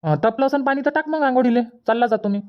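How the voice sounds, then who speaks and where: A woman voicing a brisk, doting village father in a cartoon comedy, coaxing in Vidarbha Marathi. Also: sits high, dull audio, lightly acted.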